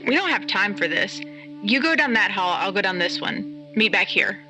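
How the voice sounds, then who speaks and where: A woman's voice: mid-range voice, animated, moderate pace, music in the background, natural voice, rough recording. A woman playing a pragmatic, skeptical character in an audio drama, blunt and sardonic in a naturalistic way. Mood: urgent.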